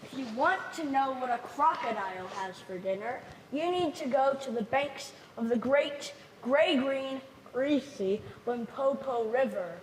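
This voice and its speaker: A woman's voice: sits high, a little slow, hardly any pauses, a little hiss or hum. A woman voicing a knowing bird who tells the calf where to go, in a stage play. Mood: confiding. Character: knowing female Kolokolo bird. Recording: echoey hall, mic far off.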